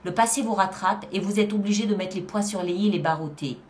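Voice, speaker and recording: A woman's voice: mid-range voice, fast talker, a little mellow. Woman giving an emphatic tarot reading. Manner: emphatic, explanatory, repeating phrases for emphasis. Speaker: tarot reader and channel host. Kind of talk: spoken tarot card reading addressed to viewers.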